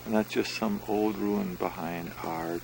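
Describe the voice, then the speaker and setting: A man narrates his travel vlog casually, with dry, wry remarks. He talks slowly, and faint noise sits in the background. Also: deep voice, fairly even intonation, vocal fry. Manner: slow, wry, chatty. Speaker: travel vlogger.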